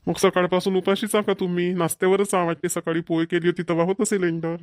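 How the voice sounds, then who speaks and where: Man as high-pitched broke village husband. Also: flat delivery, quick pace, dry recording, natural voice. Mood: agreeable. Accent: Marathi, Vidarbha. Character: broke village husband and father, scolding yet coaxing. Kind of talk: cartoon comedy dialogue.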